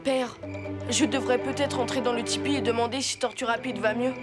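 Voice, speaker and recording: Casting: woman playing plucky little boy, high voice, earnest, cartoon adventure with loud score. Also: fairly even intonation, brisk, natural voice. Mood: concerned. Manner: earnest, eager. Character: brave, eager, kind-hearted young Sioux boy. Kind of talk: French-dubbed children's cartoon acting. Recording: loud music underneath.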